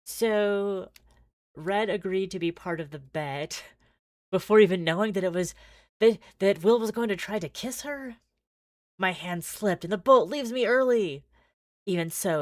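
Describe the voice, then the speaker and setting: A woman livestreamer reading a visual novel aloud with casual asides, expressive and giggly, often stumbling and restarting. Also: mid-range voice, no room sound, studio quality.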